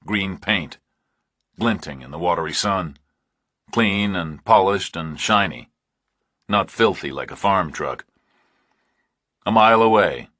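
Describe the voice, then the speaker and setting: Male audiobook narrator for a thriller: very deep voice, close dry sound. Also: expressive, slow pace, long pauses, sharp tone, husky, no room sound.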